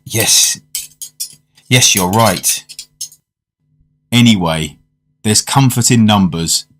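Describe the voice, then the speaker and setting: An actor playing a polite, curious gentleman diner in a radio drama, narrating and conversing in a measured, formal way. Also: fairly low pitch. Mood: eager. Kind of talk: radio drama acting and first-person narration.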